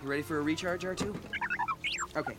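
A man's voice: fairly high pitch, fast, very noisy, lightly acted. An actor playing an earnest, impatient young male space adventurer in a radio drama. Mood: weary, uneasy.

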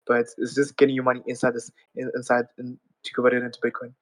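A man's voice: mid-range voice, monotone, fast, dry recording. A man, earnestly explaining things step by step in a casual live audio chat. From Zimbabwe. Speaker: audience speaker.

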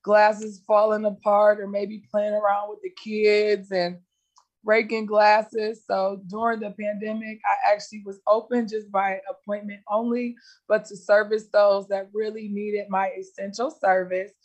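Woman guest, a little raspy. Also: mid-range voice, little pitch movement, measured pace, a little mellow, muffled recording. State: slightly raspy voice. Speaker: guest, small business owner. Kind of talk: interview answers. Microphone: video call.

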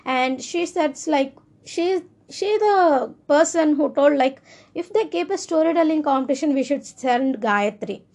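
A young woman from India speaking English with an Indian accent in a high voice. She talks in a loose, earnest monologue and often stops to restart her sentences. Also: animated, unhurried, darkish tone. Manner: earnest, rambling, with frequent restarts. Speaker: speaker sharing personal views. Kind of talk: casual monologue.

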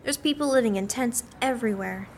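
A woman's voice: fairly high pitch, a little fast, a little hiss or hum, close to an everyday voice. A woman playing an earnest, inquisitive small-town newspaper reporter in a radio play, in a conversational style. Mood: friendly, probing.

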